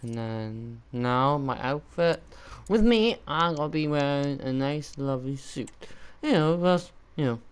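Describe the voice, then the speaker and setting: A man's voice: fairly high pitch, a little slow, hardly any pauses, clear, resonant tone. A Welsh man casually commenting on his own video game play as a gaming channel host. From Wales. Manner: loud energetic greeting, then quiet murmuring while customizing. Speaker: gaming YouTuber.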